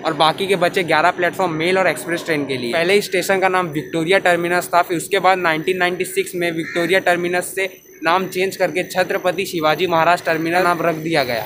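A young man narrating a casual train travel vlog, giving facts and mixing English phrases into his talk. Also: sits high. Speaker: travel vlogger. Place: railway station and train coach, partly voice-over. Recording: loud station and train noise behind.

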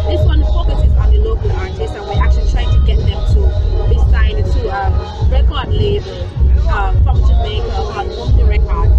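A middle-aged woman with a Caribbean accent giving spontaneous interview answers as an event organiser, outdoors. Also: on the high side. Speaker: festival committee chairperson being interviewed. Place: outdoors at night at a loud event. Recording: dull audio, loud music and crowd behind.

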